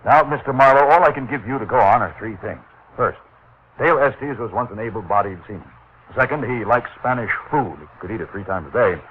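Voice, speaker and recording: A man's voice: mid-range voice, a little fast, breathy edge, natural voice. An American actor playing an anxious, hesitant businessman who fears for his life, in 1940s radio drama style. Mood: anxious, pleading. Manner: hesitant, earnest. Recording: muffled, tinny 1940s radio recording.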